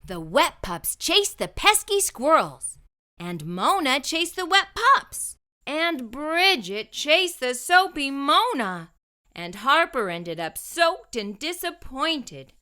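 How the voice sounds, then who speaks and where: A woman reading a children's story aloud as an expressive narrator. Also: sits high, slow. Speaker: children's book reader.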